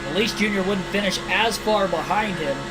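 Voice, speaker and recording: A man's voice: sits high, moderate pace, very noisy. Man calling a racing game livestream. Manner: steady race-caller delivery, hedging guesses. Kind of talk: play-by-play commentary. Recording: video game music behind. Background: game music.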